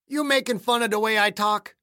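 A narrator voicing a gruff, scowling older caretaker with a folksy, surly manner. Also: mid-range voice, fairly quick, sharp tone, no room sound, clean studio sound, pitched above the performer's own voice, close to an everyday voice. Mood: hostile. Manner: cantankerous, folksy, tacks "eh" onto sentences.

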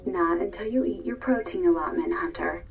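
An actress playing a calm, firm nurse who corrects her patient with mild condescension. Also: on the low side, normal speed, vocal fry, music in the background.

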